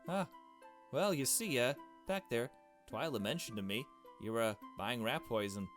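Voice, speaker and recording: A man's voice: mid-range voice, expressive, moderate pace, soft background music, lightly acted. A man voicing a meek, earnest young woman from a visual novel, hesitant and polite. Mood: hesitant, pleading. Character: meek, earnest young woman who loves small animals. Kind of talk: visual novel dialogue read aloud.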